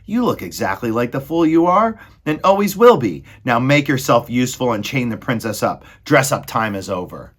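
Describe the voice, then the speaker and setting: A man's voice: mid-range voice, big pitch swings, moderate pace, few pauses, pitched above the performer's own voice. A man voicing a cruel, scheming male rat villain who speaks in a sneering, haughty, coldly mocking way.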